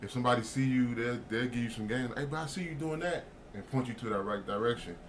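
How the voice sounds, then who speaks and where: A man from Oakland hosts a casual podcast, talking quickly in relaxed slang. His pitch sits in the middle range. A faint hiss sits under his voice. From Oakland, California. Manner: fast, slangy, easygoing. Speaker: podcast host.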